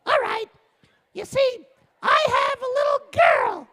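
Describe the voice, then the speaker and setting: A man's voice: extremely high pitch, takes it slowly, bright, forceful tone, pitched above the performer's own voice, heavily acted. A man voicing a distraught, brusque crying mother in an oral story, wailing and impatient. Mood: exasperated, upset.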